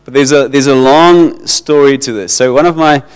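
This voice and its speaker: A South African man preaching to his church in an informal, halting way, repeating words and slipping into Afrikaans. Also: medium pitch, clean tone. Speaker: pastor. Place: church hall. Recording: long hall reverb.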